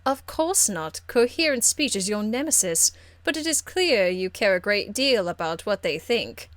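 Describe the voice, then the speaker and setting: A woman voicing a haughty, aristocratic lady mage from a fantasy game, icily polite, measured and condescending. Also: medium pitch, animated, a bit bright, dry recording, clean studio sound, close to an everyday voice. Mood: cold condescension. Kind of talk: video game character acting.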